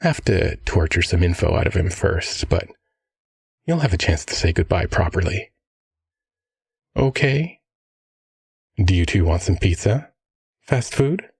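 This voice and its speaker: A gravelly crime-boss father, played by a man, speaks close and dry. He dotes breezily on his family and threatens just as casually, in the manner of an ASMR audio drama. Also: deep voice, sing-song, measured pace, long pauses, a little mellow, no echo, full character voice. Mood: calmly menacing. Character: rich, affable, menacing crime-boss single dad of vampires.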